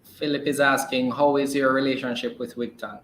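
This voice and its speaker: A man hosts an investor call, reading out questions in a medium-pitched voice. The sound is roomy and echoey, with some faint noise behind him. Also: little pitch movement, normal speed, a bit bright. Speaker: host.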